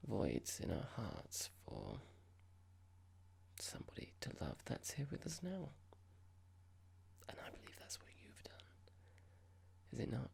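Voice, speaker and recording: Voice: mid-range voice, gravelly, husky, full character voice. Someone acting a whispering, condescending, menacing boss. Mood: mock-sympathetic. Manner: slow, patronising, deliberate, with pointed questions. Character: horrible condescending office boss, coldly menacing, mock-polite. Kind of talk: whispered ASMR roleplay.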